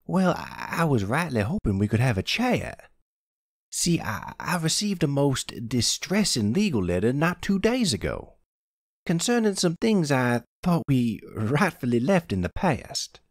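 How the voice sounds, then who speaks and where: A man's voice: mid-range voice, very animated, measured pace, no room sound, clean studio sound, voice pushed up. A man voicing a self-righteous older preacher with an American Southern accent and a folksy, pompous, formal manner in an audio roleplay. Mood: falsely courteous.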